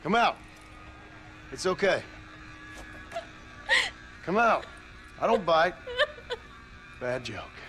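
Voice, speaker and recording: An actor voicing a calm, laconic gunslinger who talks in a dry, patient drawl. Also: male voice, a little high, extremely slow, pauses often, exaggerated voice.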